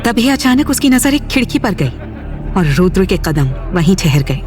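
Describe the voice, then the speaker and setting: A woman's voice: mid-range voice, sing-song, moderate pace. A woman narrating an audio drama story in a dramatic, scripted storytelling style.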